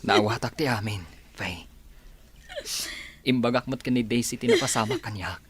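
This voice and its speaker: A man playing a steady, caring young man in a radio drama. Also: medium pitch, moderate pace, slight background noise, lightly acted.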